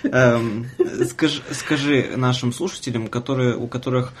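A man's voice: mid-range voice, fairly even intonation, unhurried, slight background noise. A man hosting a casual radio interview show with playful, teasing banter.

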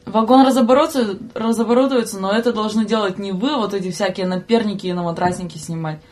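A young woman with a mid-pitched voice tells anecdotes as a podcast guest. She talks in a casual, slangy way and expressively acts out what people said. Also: fast talker, a little mellow. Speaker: podcast guest, train conductor.

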